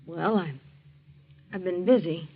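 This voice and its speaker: A woman's voice: on the low side, slow pace, breathy edge, heavily acted. An actress playing a defiant, proud young woman from the poor side of town in a 1940s radio drama. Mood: guarded. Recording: muffled old 1940s radio recording.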